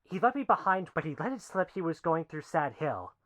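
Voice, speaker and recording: A man's voice: fairly high pitch, big pitch swings, fairly quick, fairly bright tone. An actor playing an injured but resolute male gang member in an amateur western. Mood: weary, resolute. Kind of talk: amateur western film acting.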